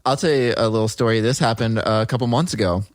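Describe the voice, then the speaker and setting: Low-voiced man chatting casually on a podcast. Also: fast, no echo, clean studio sound. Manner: laid-back, rambling, frequent "like". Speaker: podcast co-host. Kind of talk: casual chat and storytelling.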